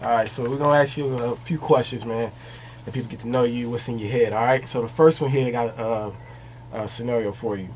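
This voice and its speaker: A young man co-hosts a casual podcast from a small studio. He is upbeat and animated as he reads out questions, in a mid-pitched voice. A faint background hiss sits under the mic. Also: a little fast. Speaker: podcast co-host. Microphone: studio mic on arm, wearing headphones.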